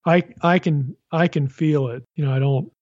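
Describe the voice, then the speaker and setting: A man with a husky, mellow voice, tired and low on energy, talking casually from his desk. He rambles, restarts his sentences and fills them with "you know." The recording is dull and lo-fi, with a dry sound. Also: normal speed, no room sound. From Pennsylvania. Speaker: podcast host. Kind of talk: casual podcast chat. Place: sitting at a home desk.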